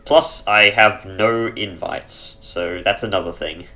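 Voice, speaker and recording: Chesty low-voiced man narrating his game. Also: steady tone, takes it slowly, bright, forceful tone. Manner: rambling, self-correcting, dry humor. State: chesty, clearing his throat. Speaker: let's play gamer. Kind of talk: casual gameplay commentary. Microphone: headset.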